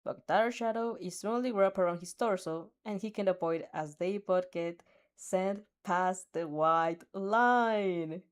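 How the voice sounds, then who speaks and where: A woman's voice: lowish voice, slow, clear, resonant tone. A woman reading a fan story aloud in second person, often stumbling over words and restarting. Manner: reads with frequent slips, restarts and giggles. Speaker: fanfiction reader.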